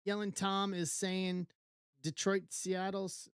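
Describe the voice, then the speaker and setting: East Coast woman hosting a live break stream, unhurried list-reading, dry close mic. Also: medium pitch, little pitch movement, clear, resonant tone, dry recording. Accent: East Coast American. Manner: slow, reading out a list in short bursts. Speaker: card break host.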